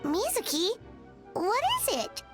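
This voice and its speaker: A woman voicing a small, childlike, excitable and impatient companion character in a video game, with a squeaky voice. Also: slow, heavily acted. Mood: curious, eager. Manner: excitable, exclamatory. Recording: music playing behind the voice.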